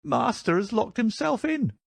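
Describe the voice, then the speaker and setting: A man's voice: sits high, huge pitch swings, a little slow, creaky, dry recording, voice pushed up, heavily acted. A man voicing a frightened, effusive old woman housekeeper in an audiobook, emotional and pleading. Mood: anxious pleading. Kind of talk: audiobook character voice.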